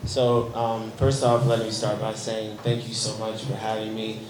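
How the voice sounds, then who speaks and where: A young American man from Chicago with a slightly hoarse voice, earnestly telling his story at a press event, with frequent ums. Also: lowish voice, completely flat delivery, moderate pace, reverberant, noisy background. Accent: Chicago American English. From Chicago, United States. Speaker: guest musician.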